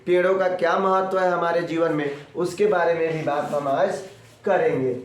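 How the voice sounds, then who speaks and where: A man teaches a school class from far off in a very echoey room. He sounds like he has a sore throat, and he drills each point with repetition and quick questions to the pupils. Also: a little high, fairly even intonation. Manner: didactic, repeats points and quizzes the pupils. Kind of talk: classroom geography lesson for school children. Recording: very echoey room, distant mic.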